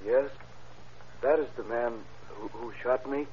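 An actor playing a subdued shooting victim testifying quietly and haltingly in 1930s radio style, with a husky voice. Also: male voice, mid-range voice, a crowd in the background, lightly acted. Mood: subdued, grave. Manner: quiet, hesitant. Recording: muffled old radio recording.

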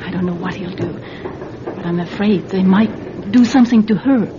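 An actress plays a frightened, vulnerable mother in a radio spy drama. Her mid-pitched voice is hesitant and fretful, heard on a dull recording with clear background noise. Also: moderate pace, natural voice. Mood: afraid. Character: anxious, vulnerable mother coerced into spying.

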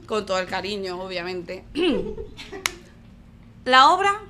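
A woman with an Andalusian Málaga accent, an actress chatting in a lively, outspoken way as a guest on a live podcast. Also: medium pitch, slow. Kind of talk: casual live podcast chat. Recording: live audience crowd noise.

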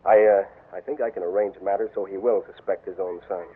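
A sly, silky card sharp who toys with his victims. His voice sits low and husky, coming through a tinny old radio broadcast. Every line drips with mocking courtesy. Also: fairly even intonation, fast. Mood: scheming. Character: smooth scheming male gambler blackmailer. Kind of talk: 1940s radio acting.

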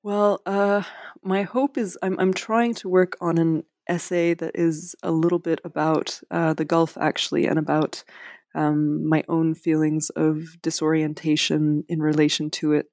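Hesitant woman author, flat and dry. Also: deep voice, measured pace, dry recording. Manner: hesitant, many fillers and restarts, self-deprecating. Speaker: author guest. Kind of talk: casual interview.

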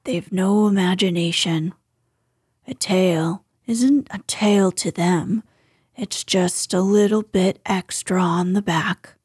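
Woman voicing a gloomy, low, slow old donkey. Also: darkish tone, husky, no echo, clean studio sound. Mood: mournful, grumbling. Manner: slow, grumbling, resigned. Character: gloomy, self-pitying old male donkey. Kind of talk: children's storybook character voice.

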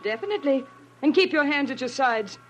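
A woman playing a cool, commanding femme fatale in a classic radio drama, giving crisp orders with mocking politeness. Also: high-pitched, animated, brisk, faint music behind.

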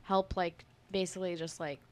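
A woman's voice: lowish voice, flat delivery, normal speed, a little mellow. A woman co-hosting a casual podcast with friends, telling a story in a rambling, laid-back way. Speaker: podcast co-host. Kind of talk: casual chat among friends.

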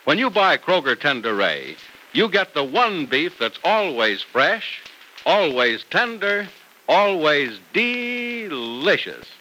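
A man's voice: a little high, big pitch swings, takes it slowly, bright, forceful tone. An American man announcing in a polished, emphatic 1940s radio style, stretching out key words. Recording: noisy vintage radio recording.

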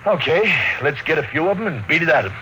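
A man playing a tough, slangy military sidekick in an old-time radio drama, gruff and wisecracking. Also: on the high side, sing-song, fast, bright, forceful tone. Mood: scornful, sardonic. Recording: noisy vintage radio recording.

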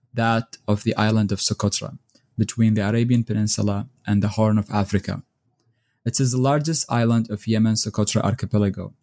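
Brief: male podcast host, deep and mellow, reads his scripted intro formally. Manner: formal, measured reading. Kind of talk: scripted introduction and interview questions.

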